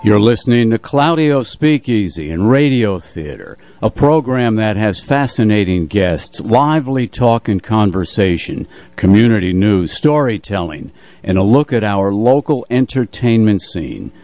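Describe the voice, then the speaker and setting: A man's voice: on the low side, sing-song, measured pace, dark tone. A man reading a scripted introduction as a radio show announcer. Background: faint music.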